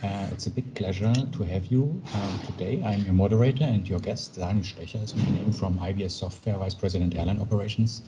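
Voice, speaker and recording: A man with a lowish voice moderates an online panel, pausing often with ums and uhs. His video-call audio carries a clear hiss of background noise. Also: fairly even intonation, muffled recording. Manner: hesitant, many fillers.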